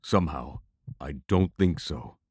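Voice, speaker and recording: Man as male adventurer, low voice, slow pace, anxious, hesitant, skeptical, fantasy audio drama. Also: expressive, a little mellow, breathy edge, no echo, clean studio sound, heavily acted. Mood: worried.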